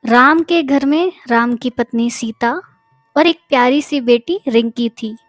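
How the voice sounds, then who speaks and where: A woman's voice: a little high, a little slow, dry recording, studio quality. A woman narrating a scripted story as a storyteller. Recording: music behind the voice.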